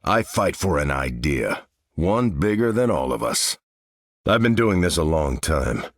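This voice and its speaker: Steadfast superhero soldier, widely varied pitch. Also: male voice, very animated, unhurried, no echo, exaggerated voice. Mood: calm, earnest. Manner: earnest, commanding. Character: steadfast, noble male soldier superhero leading his team. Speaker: video game hero. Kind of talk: video game voice lines.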